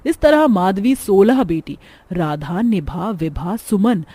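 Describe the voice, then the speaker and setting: A woman's voice: mid-range voice, animated, slow, mellow, slightly rough, no room sound. A woman narrating a scripted cartoon story in a dramatic storytelling manner. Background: background music.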